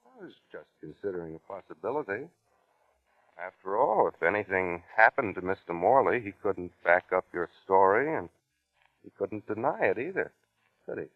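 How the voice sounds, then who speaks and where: Probing detective on tinny old radio. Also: male voice, deep voice, sing-song, moderate pace, no echo. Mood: coolly insinuating. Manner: polite, insinuating questioning. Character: probing, quietly menacing male police investigator. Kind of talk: old-time radio suspense acting. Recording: tinny, dry vintage radio recording.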